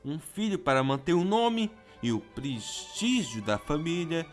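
Brazilian man, higher-pitched, casual commentary. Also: sing-song, measured pace, faint music behind. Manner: informal, slangy. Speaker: host of a manga commentary show.

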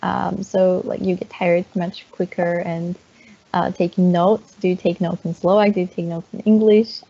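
A woman lecturer and therapist answering on a video call, measured, often repeating words as she thinks. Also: fairly low pitch, little pitch movement, creaky, mellow. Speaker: teacher and therapist. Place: room at home. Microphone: wired earphone mic on a video call. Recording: dull lo-fi video call audio.

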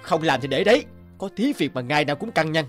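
A man's voice: a little high, lively intonation, fast talker, lightly acted. A man playing an irritable, dismissive husband in a cartoon, curt and grumbling. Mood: irritated. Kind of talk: animated cartoon dialogue. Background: background music.

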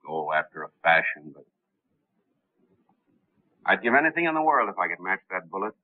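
A man in his forties playing a suave, composed gentleman crime fighter in 1930s film style. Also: low voice, sing-song, normal speed. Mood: grave, wistful. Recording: scratchy, tinny 1930s film soundtrack.

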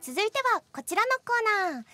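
A woman with a high voice works as a radio show host. She speaks politely and agreeably, keeping the chat moving in a web radio style. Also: sing-song, brisk, music in the background. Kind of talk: chatty web radio hosting.

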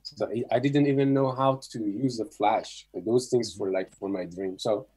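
A middle-aged man with an Iranian accent, a native Persian speaker, tells his story casually in halting English on a video call. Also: lowish voice, warm tone, breathy edge. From Iran. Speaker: guest photographer.

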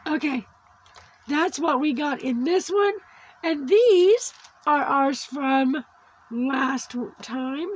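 A woman hosting a casual craft video, her voice worn from losing it after surgery, slowly chatting and cooing over small crafts. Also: high-pitched, poor audio. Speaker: crafting channel host. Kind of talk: casual unboxing chat. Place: indoors at a tabletop craft setup.

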